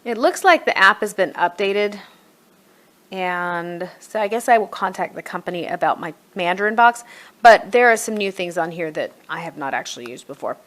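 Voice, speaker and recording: A woman's voice: on the low side, expressive, normal speed, fairly bright tone. A middle-aged woman giving a casual product walkthrough as a reviewer, conversational and thinking aloud as she goes. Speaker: homeschool curriculum reviewer.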